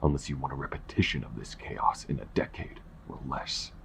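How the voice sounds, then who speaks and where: An exceptionally low, gravel-laden voice for a bitter old monarch, acted by a man. He is cold, imperious and formal, a ruthless father in an audio drama. Slight noise sits behind him. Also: lively intonation, fairly quick, a bit bright, exaggerated voice. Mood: stern, unyielding. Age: older. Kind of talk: audio roleplay acting.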